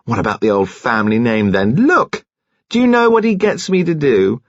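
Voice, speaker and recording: A man's voice: medium pitch, lively intonation, normal speed, husky, no room sound, lo-fi sound, voice pushed up. A man voicing a brazen, embittered, slangy woman in a rage, with scornful, emphatic delivery, as an audiobook character. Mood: scornful, indignant.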